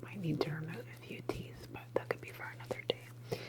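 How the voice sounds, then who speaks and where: A woman's voice: sits low, steady tone, close to an everyday voice. A woman playing an unhinged, superpowered experimenter in a sci-fi ASMR roleplay, whispering breathily in a casually clinical, faintly menacing way. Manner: unhurried, murmured, offhand and clinical.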